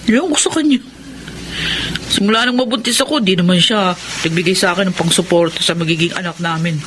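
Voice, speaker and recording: A woman's voice: lowish voice, a little fast, lightly acted. An actress playing a blunt, tomboyish young pregnant woman who does not want motherhood, in a Filipino radio drama. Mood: resigned, troubled. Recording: noisy radio drama recording.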